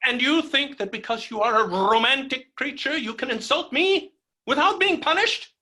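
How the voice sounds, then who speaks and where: A man playing a pompous, belligerent gentleman creditor in a theatrical staged reading of a farce, blustering and combative. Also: very high-pitched, moderate pace, full character voice. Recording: home recording over video call.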